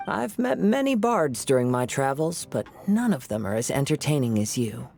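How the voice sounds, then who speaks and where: A man playing a serene, poetic young wandering traveler in an anime game, gentle and reflective, with music behind. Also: mid-range voice, very animated, moderate pace, creaky, slight background noise. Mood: calm, musing. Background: background music.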